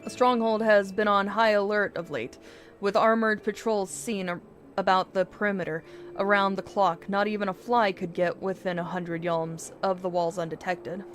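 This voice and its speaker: A woman's voice: mid-range voice, fairly even intonation, moderate pace, a little hiss or hum. A woman game streamer reading video game dialogue aloud in character, with casual asides. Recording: music behind the voice.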